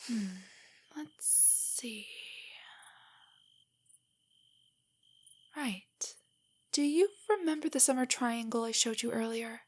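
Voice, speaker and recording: Breathy, higher-voiced nerdy girlfriend played by a woman. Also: measured pace. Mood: playful, shy. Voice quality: breathy, often near a whisper. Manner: rambling, giggly, hesitant, explaining trivia. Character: nervous, nerdy young girlfriend, affectionate and eager stargazer. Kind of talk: roleplay audio, intimate soft monologue to a listener.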